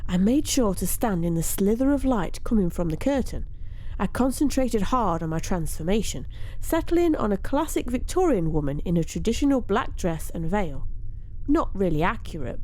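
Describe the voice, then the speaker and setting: Expressive woman narrating a story, lively pitch. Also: poor audio. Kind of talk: dramatic first-person story narration.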